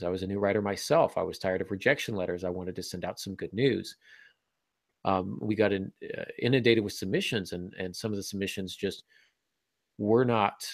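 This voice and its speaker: A man's voice: fairly low pitch, vocal fry, no echo, studio quality. A man co-hosting a podcast, talking casually and reflectively in hesitant phrases. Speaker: podcast co-host and writer.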